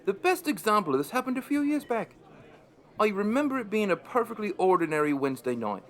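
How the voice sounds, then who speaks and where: A man's voice: high-pitched, big pitch swings, fast talker. An actor playing a wry, chatty pub landlord, narrating his story in a conversational way for an audio drama.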